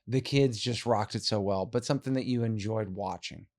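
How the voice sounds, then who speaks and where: Man, lowish voice, curious, thoughtful, winding questions, casual podcast. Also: moderate pace, darkish tone. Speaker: co-host asking questions.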